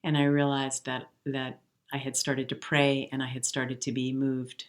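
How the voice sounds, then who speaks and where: A woman's voice: rumbling low, level tone, normal speed. Earnest, reflective woman in her fifties. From Milwaukee, US Midwest. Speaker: guest, jazz singer. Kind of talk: conversational interview.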